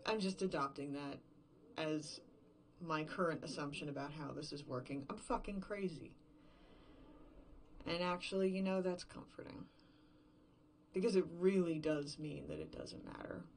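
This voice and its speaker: A woman acting a distraught, unraveling survivor alone in an emptied world, in a halting, self-questioning, profane confessional monologue for a horror fiction podcast. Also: low-pitched, slight background noise. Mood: bleak resignation.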